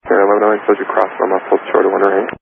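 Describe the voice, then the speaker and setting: Very fast, flat, gravelly male controller on radio. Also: deep voice. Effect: aviation radio. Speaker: air traffic controller. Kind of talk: clipped radio instructions. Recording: noisy, narrow-band air traffic radio.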